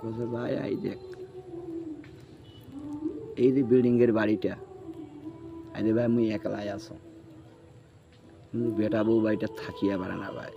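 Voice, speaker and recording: A man's voice: fairly low pitch, little pitch movement, measured pace, vocal fry, long pauses, over music. A man with a rural northern Bengali dialect telling a story in casual chat, acting out the dialogue and checking that the listener follows. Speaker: storyteller recounting own experience. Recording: muffled, noisy field recording.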